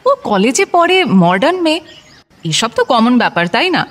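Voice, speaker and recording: A lively, high-pitched daughter-in-law, breezy and broad-minded. She remonstrates with a teasing edge in radio-drama style. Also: normal speed. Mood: breezy, protesting.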